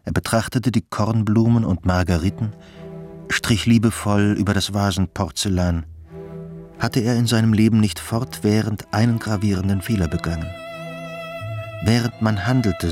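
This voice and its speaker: A man narrates a literary radio play in a low voice. His reading is scripted storytelling, set over clearly audible music. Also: darkish tone.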